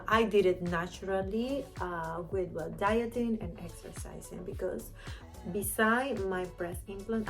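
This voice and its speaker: A woman in her thirties from Panama, with a Panamanian Spanish accent, talking candidly to camera as a vlogger with many fillers. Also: fairly low pitch. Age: 30s. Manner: chatty, candid, frequent fillers like "you know". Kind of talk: casual chat to camera.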